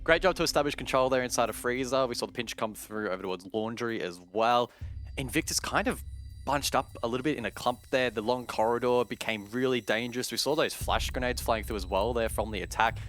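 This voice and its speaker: A man giving measured, analytical esports commentary, explaining play as a broadcast caster. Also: mid-range voice, fairly bright tone, faint music behind. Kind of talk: analytical live game commentary.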